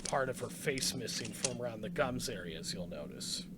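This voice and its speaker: A man's voice: medium pitch, lively intonation, normal speed, heavy background noise. A man with an Irish accent giving casual, chatty commentary on a video game playthrough, exclaiming and sometimes muttering under his breath. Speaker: gamer doing a playthrough.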